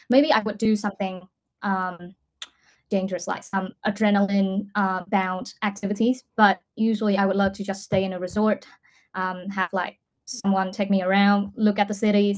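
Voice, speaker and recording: Young woman, hesitant and conversational. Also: medium pitch. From Vietnam. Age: 20s. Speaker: English student, data scientist. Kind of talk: casual conversational answers in an online lesson.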